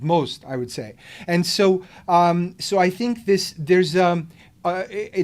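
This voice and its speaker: A man hosting a podcast, born in the Soviet Union and speaking English as a second language, talking in a rambling way with many restarts and fillers. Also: fairly high pitch. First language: not English. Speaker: podcast host. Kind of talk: casual conversation.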